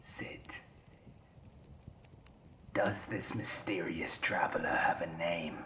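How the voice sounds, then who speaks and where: A man playing a solemn, mysterious campfire storyteller in a fantasy audio drama, breathy and theatrical, with archaic phrasing. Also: sits low, fairly even intonation, slow pace, pushed and bright, slight background noise, heavily acted. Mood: ominous. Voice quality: breathy, whispery. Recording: roomy, echoing voice.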